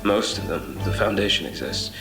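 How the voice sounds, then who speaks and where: An actor playing a haunted, obsessive traveler between twisted worlds in a horror audio drama, speaking in a measured, brooding, literary way with halts. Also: male voice, deep voice, natural voice. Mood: weary, uneasy. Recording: reverberant voice over music. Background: music.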